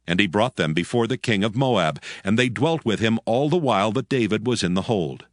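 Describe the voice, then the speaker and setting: A man narrating scripture from a script in a solemn, measured way. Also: lowish voice, expressive, dry recording, studio quality.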